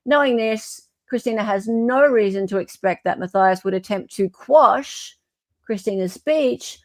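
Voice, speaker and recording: A woman's voice: a little high, unhurried, dry recording. A woman co-host reading a document aloud informally and adding wry, mocking commentary.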